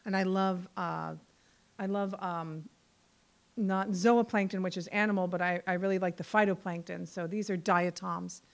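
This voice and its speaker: A woman's voice: on the low side, steady tone, normal speed, vocal fry. A woman presenting her own artwork to a small audience, informal, with many repeats and fillers. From Bahamas and Virgin Islands. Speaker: guest artist presenting her work. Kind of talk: casual presentation talk. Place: community meeting room.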